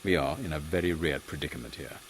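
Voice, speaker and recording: A man voicing a composed British captain of Victorian secret agents, measured and formal, in a comic audio drama. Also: a bass voice. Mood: serious. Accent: British English. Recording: faint background noise.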